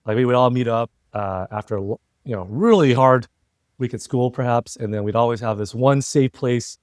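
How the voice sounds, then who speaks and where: A man's voice: mid-range voice, moderate pace, clean tone, dry recording. Middle-aged American man, close dry sound. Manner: reflective, talkative, often seeking agreement with tag questions. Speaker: interview guest, entrepreneur and former CEO. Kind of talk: casual conversational interview.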